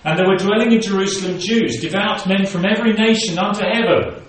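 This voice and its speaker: A man's voice: high voice, fairly quick. A man preaching a sermon, reading scripture aloud and then explaining it to his congregation. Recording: very echoey room, voice from a distance.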